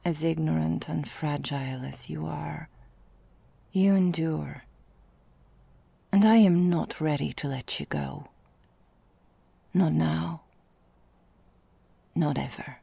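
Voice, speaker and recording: An ancient vampire mistress, acted by a woman, murmurs an intimate ASMR monologue in a dark, low, half-whispered voice. She is possessive and quietly menacing, with archaic, formal phrasing. The sound is breathy and very close and dry. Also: takes it slowly, long pauses, no echo, heavily acted. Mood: wistful, possessive.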